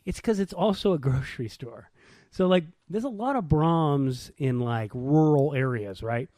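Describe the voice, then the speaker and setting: A man with a somewhat high voice and very animated intonation, recorded dry. He chats casually as a podcast co-host, playful and teasing, prone to exclaiming. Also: measured pace, no room sound. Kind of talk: casual banter.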